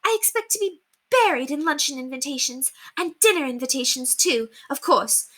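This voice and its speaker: Woman putting on an excitable, high-flown haughty lady. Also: normal speed. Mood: gushing, expectant. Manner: gushing, imperious, theatrical. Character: excitable, haughty upper-class lady, eager and self-important. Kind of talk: storybook character voice in a read-aloud.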